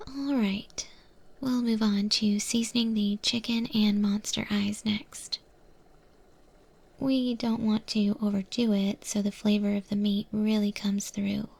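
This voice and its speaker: A woman playing a gentle monster girl who works in a void restaurant, whispering softly in an ASMR roleplay. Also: medium pitch, level tone, full character voice. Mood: calm, focused. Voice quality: breathy whisper. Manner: gentle, unhurried, coaxing. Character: soft-spoken monster girl, gentle chef's helper in the void. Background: kitchen cooking sounds, ambience.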